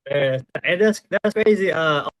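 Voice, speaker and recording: A man in his thirties hosting a casual interview, relaxed and often repeating his words. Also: high voice, measured pace, a little hiss or hum. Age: 30s. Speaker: host.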